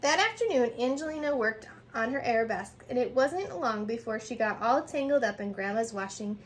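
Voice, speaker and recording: Female storyteller, high pitch, animated picture-book read with small stumbles, noticeable background noise. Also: a little fast. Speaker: storyteller reading aloud to children.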